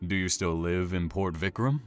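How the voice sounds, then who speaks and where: An actor playing a taunting, obsessive imprisoned serial killer in an audio horror drama, smug and teasing with drawn-out menace. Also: male voice, low voice, soft background music, close to an everyday voice. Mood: taunting, probing.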